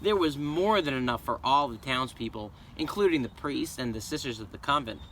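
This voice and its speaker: A man reading a children's story aloud in an expressive, dramatic way. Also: mid-range voice, brisk, a little hiss or hum, rough recording. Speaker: storybook reader. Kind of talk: children's read-aloud narration.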